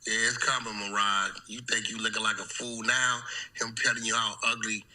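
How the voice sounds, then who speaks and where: A man's voice: on the low side, little pitch movement, fairly quick, pushed and bright, rough recording. A man giving gossip commentary as a call-in commentator, confrontational, slangy and taunting.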